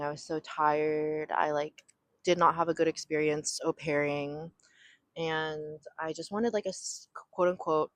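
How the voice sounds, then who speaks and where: A woman's voice: low-pitched, dry recording. A young woman from Southern California chatting casually on her own podcast, rambling with lots of "like" and "um". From raised in Southern California. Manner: rambling, filler-heavy, frequent "like" and "um". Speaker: podcast host. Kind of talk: casual solo chat. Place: home near an airport.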